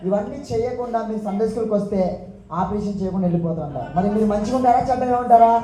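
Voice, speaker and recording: A woman's voice: medium pitch, racing, mellow. A woman hosting a puppet show for children, exclaiming and asking questions, often repeating things for them. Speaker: puppet show host at children's Sunday school. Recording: echoey room, clearly noisy background.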